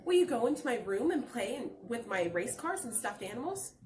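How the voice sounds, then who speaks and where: A woman acts out a curious young son in a storybook. The voice is high and swings widely in pitch, full of affection and excitement. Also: fairly quick, slight background noise. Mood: earnest, wondering. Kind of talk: picture-book read-aloud.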